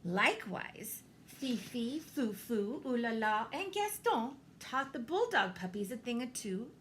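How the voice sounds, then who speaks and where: A woman reading a children's picture book aloud, expressively, with theatrical pauses and deliberate emphasis. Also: on the high side, big pitch swings, fairly bright tone, slight background noise. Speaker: storybook reader, teacher.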